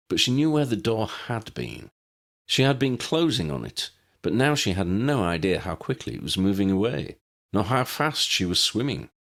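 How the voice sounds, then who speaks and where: A man's voice: sits low, a bit bright. A man reading a scripted story aloud as an audiobook narrator.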